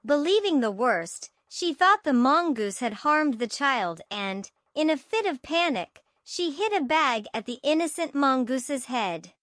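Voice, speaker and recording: A woman's voice: sits high, lively intonation, a little slow, few pauses, a bit bright, no room sound, studio quality. A woman storyteller reading a scripted children's fable as narration.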